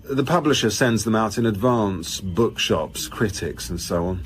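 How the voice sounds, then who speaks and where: A man's voice: fairly low pitch, lively intonation, measured pace, husky. A man playing a genial, dry senior police boss in a naturalistic radio drama, droll and understated. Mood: droll, casual. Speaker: actor in an audio drama.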